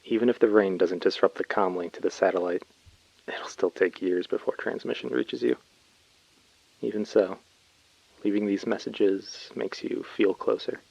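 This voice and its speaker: An informal, talkative colony labourer leaving a message in a science fiction audio drama. He has a low voice and a conversational storytelling manner. The sound is dry and slightly dull. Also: fairly even intonation, normal speed, gravelly, darkish tone, breathy edge, dry recording. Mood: affectionate, confiding. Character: chatty young male colony labourer messaging his brother.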